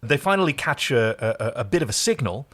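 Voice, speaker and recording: A man's voice: medium pitch, big pitch swings, quick pace, fairly bright tone. A man co-hosting a casual episode recap chat, enthusiastic and playful. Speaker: podcast co-host.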